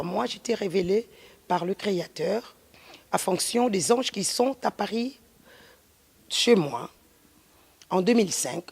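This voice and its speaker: A middle-aged woman from Congo giving a slow spoken testimony and preaching, pausing and restarting as she talks. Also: medium pitch, creaky, breathy edge, no room sound. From Congo (DRC). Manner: slow and deliberate, with hesitant restarts.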